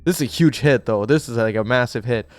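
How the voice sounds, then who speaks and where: A young man hosting a gaming channel, chatting in a rambling, excitable way while sick with a cough, with a mic set close to him. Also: mid-range voice, fast, dry recording. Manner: excitable, rambling, swears casually. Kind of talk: casual reaction commentary. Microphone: desk mic set too close.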